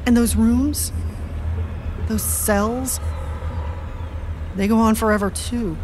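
An actress playing an anguished, guilt-ridden woman in an audio drama, a woman speaking in a haunted, halting way. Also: on the high side, fairly even intonation, darkish tone, a little hiss or hum.

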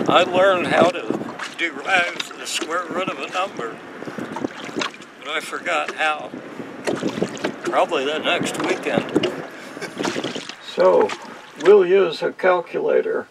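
A man in his sixties with a gravelly voice, hosting an instructional hobby video in an unhurried, folksy storytelling way. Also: on the high side. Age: 60s. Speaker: host of a model sailing channel. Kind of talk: relaxed instructional narration to camera. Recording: distant mic, faint outdoor noise.

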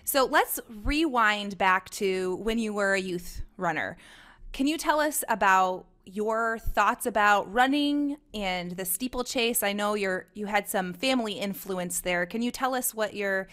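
A woman, interviewing conversationally as a podcast host into a close desktop microphone, with an animated manner. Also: medium pitch. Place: living room indoors. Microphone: desktop microphone close in front.

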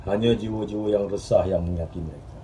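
A man acting an earnest, restless journalist in a dramatic stage monologue, speaking in deliberate literary phrases with pauses. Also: lowish voice, fairly even intonation, dark tone, muffled recording, slight background noise, natural voice. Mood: brooding, reflective. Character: earnest, restless male journalist reminiscing about his admired mentor. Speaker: stage actor. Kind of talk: theatrical monologue in Malay drama.

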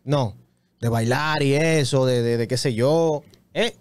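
A man in his thirties co-hosting a casual radio interview, lively and joking, often repeating words, on a studio boom mic. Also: a little slow, no echo. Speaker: radio show co-host. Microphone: studio mic on boom arm.

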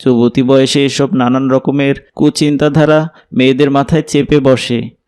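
A man's voice: medium pitch, fairly even intonation, normal speed, darkish tone, clean tone, natural voice. A man playing a polite, pious and earnest young imam in a cartoon drama. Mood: earnest, advising.

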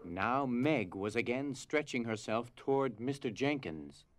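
A man reading dramatized storybook narration in a measured, solemn way. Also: mid-range voice, expressive, no echo. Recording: music behind.

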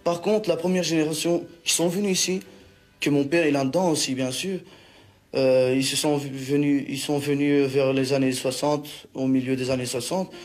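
A man from Belgium, giving a relaxed documentary interview with small hesitations. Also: medium pitch, normal speed. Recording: music playing under the voice.

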